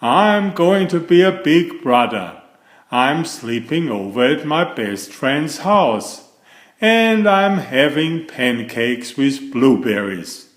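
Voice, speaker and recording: A man voicing a proud, eager young bear cub in a children's storybook, with excited emphasis. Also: medium pitch, slow pace. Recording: echoey room.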